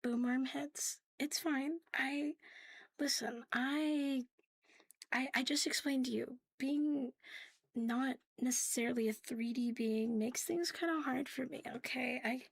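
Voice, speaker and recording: A woman chatting casually to viewers on an ASMR livestream, soft and breathy with a playful, cutesy manner, often dropping to a whisper close to the mic. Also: high-pitched, fairly even intonation, slow pace, dry recording. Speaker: ASMR live streamer hosting in a virtual world. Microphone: close, sensitive streaming microphone.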